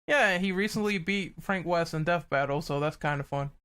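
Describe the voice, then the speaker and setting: Guy hosting a podcast, highish bright voice, animated, swears casually, lots of opinions. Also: normal speed, clean tone. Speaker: podcast host.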